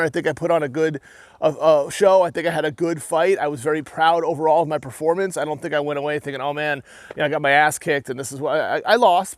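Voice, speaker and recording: A man's voice: fairly high pitch, no echo. Man, New Hampshire accent, earnest rambling vlogger, close dry recording. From New England. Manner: rambling and earnest, with frequent restarts and "right?" tags. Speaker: armored combat fighter and YouTuber. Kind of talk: casual talking-to-camera rant.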